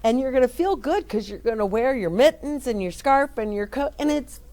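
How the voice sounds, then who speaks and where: A middle-aged woman reading a picture book aloud and chatting gently and encouragingly to young children. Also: sits high, expressive, normal speed. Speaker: children's storyteller. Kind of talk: read-aloud story time with chat to children.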